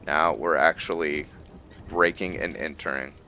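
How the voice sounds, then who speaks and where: A man giving casual commentary on a roleplay video game, halting as he thinks aloud and explains the lore. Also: rumbling low, fairly even intonation, sharp tone, slight background noise. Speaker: gamer narrating a roleplay playthrough.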